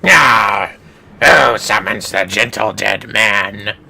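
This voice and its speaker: A man voicing a hungry, obedient game zombie, declaiming in a drawn-out monstrous way. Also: fairly low pitch, creaky, bright, forceful tone, exaggerated voice. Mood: ravenous.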